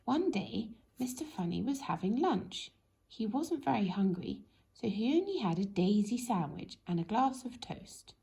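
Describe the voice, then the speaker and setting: Lively woman reading a children's storybook. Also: mid-range voice, unhurried, gravelly. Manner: animated, addresses young listeners with questions. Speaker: storybook reader. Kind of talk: expressive children's story narration.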